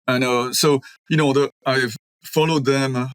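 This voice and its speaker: A man's voice: mid-range voice, fairly even intonation, a little slow, fairly bright tone, clear, resonant tone, dry recording, poor audio. A middle-aged Belgian man, French his first language, speaking as an architect guest in an interview, thoughtful with many ums and restarts. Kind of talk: conversational interview.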